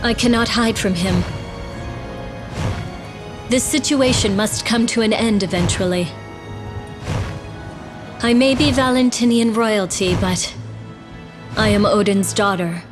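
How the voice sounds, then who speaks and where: A woman's voice: medium pitch, a little slow, long pauses, lightly acted. A woman playing a resolute young exiled princess in a video game, speaking formally and earnestly. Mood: grave, resigned. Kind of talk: dramatic video game voice acting. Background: game music.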